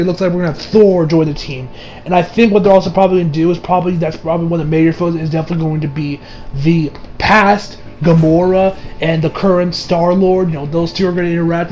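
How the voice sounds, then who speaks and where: A young man giving a casual, rambling movie review as an excitable, slangy fan who trails off into asides. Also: fairly high pitch, a little hiss or hum. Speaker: video reviewer. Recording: music behind the voice. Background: music.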